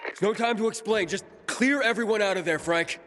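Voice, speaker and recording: A man's voice: high voice, fairly quick, slight background noise. An actor playing a tense, driven young male survivor hero in a video game, urgent and strained. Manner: urgent and strained, shouting in danger. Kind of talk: video game cutscene acting. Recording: game audio with clear ambience.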